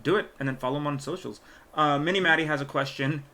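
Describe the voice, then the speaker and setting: A man's voice: on the high side, fast talker, sharp tone. A man hosting a casual live stream, hesitantly reading out viewer questions with many fillers. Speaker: stream host.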